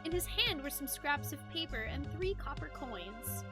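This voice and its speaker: Female game streamer, very high and lively voice, expressive chat and read-aloud narration, music underneath. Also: normal speed. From United States. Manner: animated, expressive, chatty. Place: indoors, game livestream with music behind.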